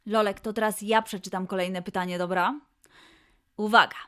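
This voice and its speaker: A woman presenting a children's quiz as host, posing questions and answers in a clear way for young listeners. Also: medium pitch, fairly even intonation, moderate pace, a bit bright.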